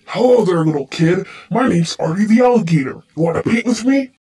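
A performer voicing a sinister, hungry cartoon alligator artist with a gravelly, mock-friendly delivery. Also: medium pitch, lively intonation, fairly quick, a little mellow, recorded from a distance, natural voice. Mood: menacing friendliness. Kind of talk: villainous cartoon voice lines.

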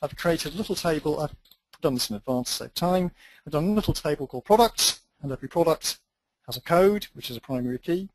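A man's voice: on the high side, lively intonation, fast talker, no room sound, poor audio. A man teaching a database tutorial, thinking aloud as he types through a live demo with dry, self-deprecating asides. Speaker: database instructor.

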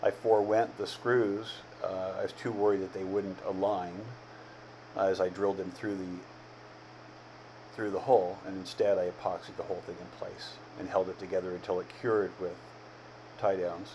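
A man in his fifties giving a casual, plain-spoken, unhurried voice-over as an amateur builder narrating his project. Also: sits low, little pitch movement, mellow, a little hiss or hum, poor audio. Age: 50s.

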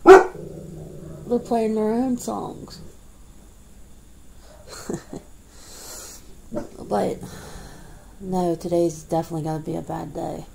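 A woman's voice: lowish voice, steady tone, very slow, vocal fry, pauses often, darkish tone, a little hiss or hum, poor audio. A woman doing an ASMR roleplay at home, rambling chattily to an unseen partner with frequent laughs and fillers. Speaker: ASMR creator. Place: indoors at home.

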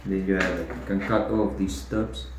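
A young man explaining step by step how to tie fishing tackle, in a halting, plain way while he works at a table indoors. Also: low voice, steady tone. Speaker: fishing tutorial host. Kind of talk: step-by-step demonstration. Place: indoors at a wooden table, echoey room. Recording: roomy echo, faint room noise.

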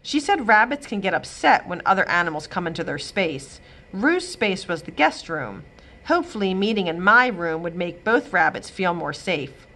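A woman reading a children's chapter book aloud, giving the characters expressive, lively voices. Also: fairly low pitch, normal speed. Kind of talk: storybook read-aloud. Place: library, indoors.

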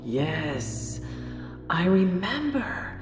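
An actress playing a deranged female hospital patient in an audio drama, speaking in halting, repetitive fragments with intensity. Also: fairly low pitch, very animated, full character voice. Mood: haunted, unsettled. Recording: roomy sound with music underneath.

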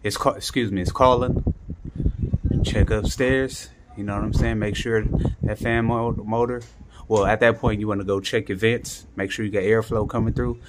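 A man's voice: fairly low pitch, steady tone, normal speed. A man talking casually and in slang to viewers as a handyman explaining a home repair, recorded on a handheld phone indoors. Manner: colloquial slang, informal catchphrases. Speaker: handyman vlogger and HVAC technician. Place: indoors at home beside air-conditioning equipment. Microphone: handheld phone behind the camera. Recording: noisy handheld phone audio with clicks.

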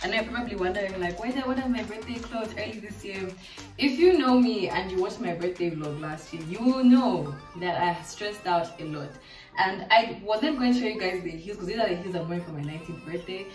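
A woman's voice: mid-range voice, expressive, a little fast, a little mellow. Chatty Zambian teen girl in echoey room. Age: late teens. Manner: chatty, bubbly, lots of fillers. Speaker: vlogger. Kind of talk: casual try-on haul chat. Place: living room at home. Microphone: camera mic from across the room. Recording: echoey room, distant mic, faint music.

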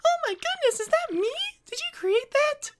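A woman's voice: very high voice, expressive, normal speed, background noise. A woman voicing a polite, bewildered young princess in a video game fan dub, dainty and earnest.

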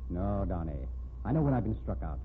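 A man's voice: low-pitched, very animated, fairly quick. An actor playing a resigned, sardonic man accused of murder in a 1950s radio mystery. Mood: sardonic resignation. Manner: sardonic, fatalistic. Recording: tinny, noisy old radio recording.

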